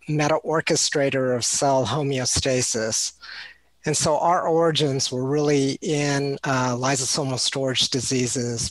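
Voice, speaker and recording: A man, a company founder and chief executive, introducing his company on an online panel in polished, deliberate phrasing. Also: mid-range voice, no echo, poor audio. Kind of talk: prepared self-introduction on a panel.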